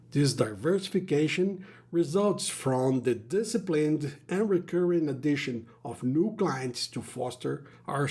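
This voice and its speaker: A man giving a scripted earnings call presentation as a company executive, in a formal, measured corporate manner. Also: fairly high pitch, a little mellow.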